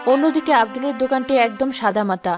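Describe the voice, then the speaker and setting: A woman narrates a scripted cartoon story. The delivery is brisk and the voice a bit high. Music plays clearly behind it. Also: expressive.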